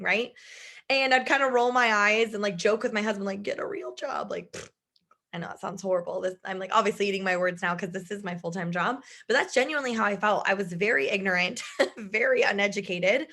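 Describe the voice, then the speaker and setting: Woman in her 30s, chatty video call pitch, bubbly, voice strained from shouting. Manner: bubbly, chatty, laughs often. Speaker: business presenter.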